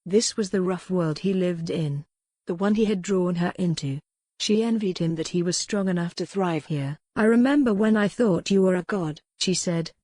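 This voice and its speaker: A woman narrating a dramatic romance audiobook in a measured, solemn storytelling manner. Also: on the low side, a little mellow, no echo.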